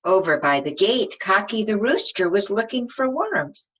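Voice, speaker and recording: A woman reading a storybook aloud to young children as their teacher, expressive and simply phrased, pausing to ask them questions. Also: medium pitch, breathy edge, highs cut off.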